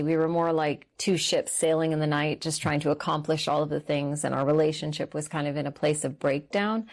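A woman's voice: low voice, darkish tone. A woman in her thirties from Canada, a guest sharing her personal faith story in an earnest, reflective way. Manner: earnest and reflective, slowing down on key words.

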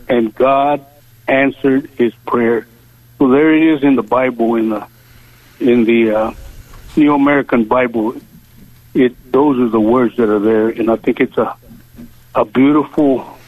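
A man calling into a prayer radio show, speaking slowly and devoutly about scripture, with many pauses. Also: medium pitch, dry recording. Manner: slow, deliberate, devout, pauses often. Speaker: caller. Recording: tinny phone line.

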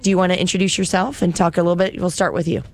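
A woman's voice: on the low side, steady tone, rapid-fire. A woman radio host chatting with guests in a live interview and appealing for pledges. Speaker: radio host on a fundraising broadcast.

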